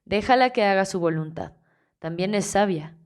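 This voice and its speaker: A woman reading the lines of a calm, wry older male archmage, in an easygoing, gently teasing way, in an audiobook. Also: mid-range voice, moderate pace, close to an everyday voice.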